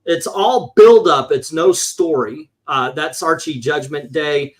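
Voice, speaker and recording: A man's voice: a little high, expressive, slow. A man reviewing comic books in casual, opinionated panel chat, rambling and swearing, acting out his exasperated reactions.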